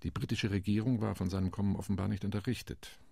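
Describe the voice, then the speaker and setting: A weary male diplomat tells his tale in a radio play with a low, husky, gravelly voice. The studio sound is dry and close. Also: fairly even intonation, fast talker, a little mellow, no echo. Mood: wry. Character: weary, wry male Latin American diplomat. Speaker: UN committee delegate.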